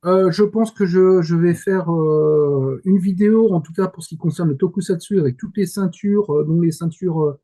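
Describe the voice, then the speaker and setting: A man's voice: high-pitched, normal speed, hardly any pauses, mellow. A man in casual panel talk about anime, speaking as an enthusiastic fan who often searches for his words. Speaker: correspondent in Japan.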